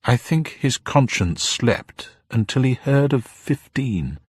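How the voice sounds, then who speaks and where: A man's voice: medium pitch, big pitch swings, slow, husky, no echo, clean studio sound, voice pushed up, heavily acted. A man voicing a little old upper-class woman, genteel, formal, grieving and proud, in an audiobook story. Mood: hesitant, grave. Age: older. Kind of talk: dramatised audiobook character voice.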